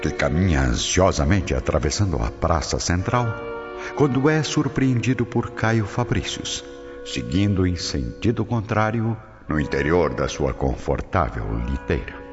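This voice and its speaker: A man's voice: deep voice, sing-song, measured pace, music in the background. A man with a Brazilian accent narrating a scripted radio drama as a solemn storyteller. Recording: dull studio track with long reverb.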